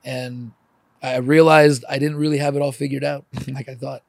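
A man's voice: medium pitch, little pitch movement, moderate pace, creaky, dry recording. A middle-aged man in casual, confessional conversation, speaking candidly and emphatically, swearing and peppering his talk with "man" and "dude.". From born in Ukraine, emigrated at age four.

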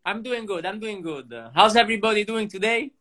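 A young man from Italy speaks English with a clear Italian accent, his voice sitting a touch high and ringing bright. He chats loosely and jokes freely as a guest on a live podcast stream. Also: a little fast. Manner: animated and joking. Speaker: guest actor. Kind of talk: casual chat. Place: livestream podcast.

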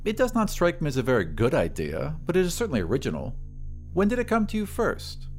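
A man plays an aloof mother in a futuristic radio play. Her pitch swings widely as she speaks, prim and controlled, dismissing ideas she dislikes. Music plays underneath. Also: wildly swooping pitch, fast. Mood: dismissive. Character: irritable, shocked, aloof mother in a futuristic society. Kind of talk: audio drama character dialogue.

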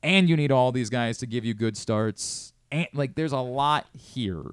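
Man, sports radio host, crisp voice, dry studio sound, casual analysis, emphatic rephrasing. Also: medium pitch, measured pace, no echo.

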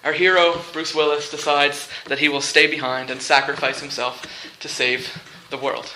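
Male preacher, sermon delivery, very sharp bright tone, deliberate rhetorical phrasing, repetition, echoey room. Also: a little high, flat delivery, slight background noise. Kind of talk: sermon with movie illustrations and humour. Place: live talk in a large room before listeners.

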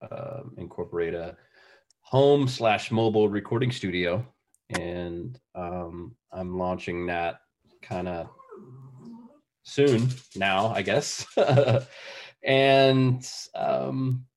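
A man from the Bay Area who plays music introduces himself on a group video call in a laid-back, hesitant way with many ums. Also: sits low. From San Francisco Bay Area.

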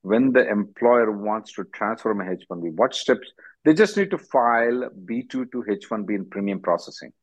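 A man's voice: lowish voice, expressive, normal speed. A man hosting a legal advice call as an attorney, answering bluntly and often repeating himself. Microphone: conference call line. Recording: dull lo-fi conference call audio.

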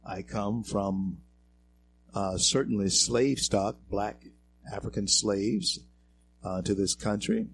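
A talk show host from Louisiana, giving a halting monologue full of fillers and repeated words that swells into emphatic peaks. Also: male voice, on the low side, steady tone, creaky, slight background noise. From Louisiana, American South.

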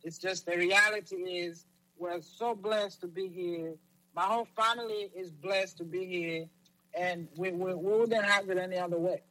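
A man from Zambia, a guest on a talk show, answering in a halting way with many restarts. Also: sits high, steady tone, pushed and bright, rough recording. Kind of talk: interview answers.

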